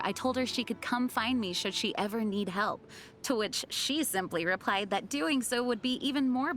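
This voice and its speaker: A woman's voice: medium pitch, a bit bright, lightly acted. A woman playing a dutiful, earnest young knight in a video game, speaking formally and with composure. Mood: sincere, dutiful. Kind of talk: video game character voice lines.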